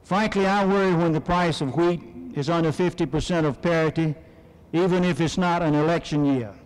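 A man's voice: on the high side, a little fast, darkish tone, clear, resonant tone. A man with an American Midwestern accent giving a folksy, wry political speech at a convention, on an old archival recording. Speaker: convention speaker. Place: large convention hall. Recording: 1970s archival recording in a large hall.

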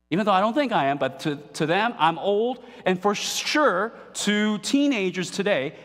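A man in his forties preaching a sermon from a pulpit, animated and playful, asking the congregation questions. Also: high voice. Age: 40s. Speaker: pastor. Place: church stage at a pulpit, indoors. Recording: long reverb of a hall.

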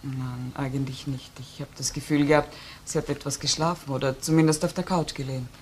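An actress playing a cool, reserved widow in a German radio crime drama, giving guarded, clipped, matter-of-fact answers as a witness. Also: female voice, rumbling low, steady tone, brisk, darkish tone. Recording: old radio recording, faint noise.

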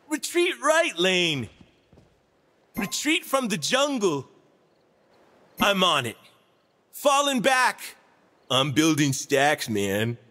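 A man's voice: very high voice, bright, forceful tone, big-room sound, exaggerated voice. A man voicing a laid-back, nature-loving hippie stoner wizard for a video game, with a drawling, spaced-out surfer-dude delivery. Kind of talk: video game character voice lines.

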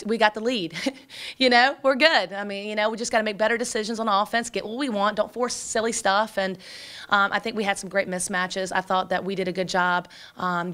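A woman in her forties, a basketball coach, talking quickly and energetically at a postgame press conference, with many fillers and restarts, into a table microphone. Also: mid-range voice, fairly bright tone. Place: press room before a sponsor backdrop. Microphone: table microphone in front.

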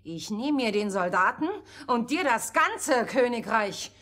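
An actress playing a spoiled, scornful young princess in a fairy-tale radio play, haughty and demanding. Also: female voice, a little high, expressive, unhurried, fairly bright tone. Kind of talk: theatrical radio play acting.

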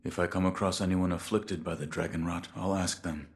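An actor playing a stoic, loyal shinobi in a video game, terse, subdued and deadpan. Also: male voice, sits low, a little fast. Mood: reserved. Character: stoic, loyal shinobi son of few words.

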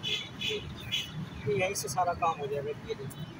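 A man with a Pakistani accent presents an informal tutorial in a high-pitched voice. He explains emphatically, often repeating his phrases. The mic sits at a distance, and there is clear background noise. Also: wildly swooping pitch, unhurried. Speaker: angler presenting a fishing tutorial. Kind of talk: informal how-to vlog narration. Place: outdoors in a park, later another spot after leaving it. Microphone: phone camera, some distance away.

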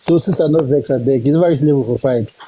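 A man's voice: mid-range voice, fast, a crowd in the background, low-quality recording. A man from Cameroon with a Cameroonian accent, chatting earnestly in pidgin as a caller on a live stream. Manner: earnest, eager, pleading. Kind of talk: pidgin casual chat.

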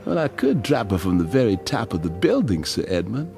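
A man's voice: fairly low pitch, very animated, brisk, creaky, darkish tone, soft background music. A man playing an obedient, sinister henchman in a comic-book audio drama, deferential and coolly sadistic. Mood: servile menace. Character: obedient, sinister male henchman of a crime lord.

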